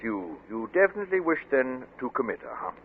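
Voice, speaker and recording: A man's voice: mid-range voice, sing-song, fairly quick, close to an everyday voice. A man acting a polite, hesitant psychiatrist in a 1940s radio suspense play, courteous and deferential in manner. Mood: cautious, hesitant. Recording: noisy, narrow-band old radio recording.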